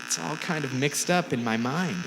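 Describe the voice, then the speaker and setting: A man's voice: fairly high pitch, moderate pace, big-room sound, background noise, voice pushed up, natural voice. A man voicing a simple-minded, forgetful, cheerful housewife in a storybook, in a vague, folksy way. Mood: vague, placid. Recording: music under the voice.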